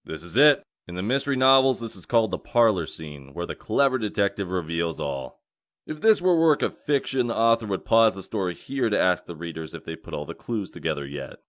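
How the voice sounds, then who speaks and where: A man playing a gruff, dry detective cop in a comic dub, speaking in a measured, wry way. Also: deep voice, big pitch swings, no room sound. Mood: assured, showman-like. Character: gruff male wolf detective cop, dry, theatrical about revealing culprits.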